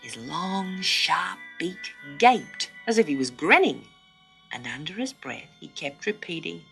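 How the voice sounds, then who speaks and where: A woman reading a children's story as dramatic narration, expressive and full of suspense, often dropping to hushed tones. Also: lowish voice, a little slow, gravelly, faint music behind.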